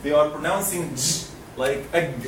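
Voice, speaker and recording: A man from Egypt leads a classroom lesson, drilling sounds slowly so students can echo them. His voice sits a little high. He is recorded from a distance in a reverberant, noisy room. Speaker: Quran recitation teacher. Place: lecture classroom. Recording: roomy classroom, mic far off, noisy.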